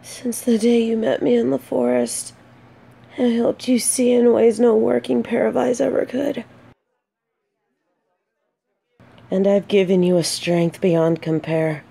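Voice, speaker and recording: A woman's voice: mid-range voice, vocal fry, warm tone, husky. A woman playing an earnest, remorseful exiled female knight in a romantic fantasy audio roleplay, speaking solemnly and faltering when flustered. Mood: tender, smitten. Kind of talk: scripted romantic audio roleplay, addressing the listener.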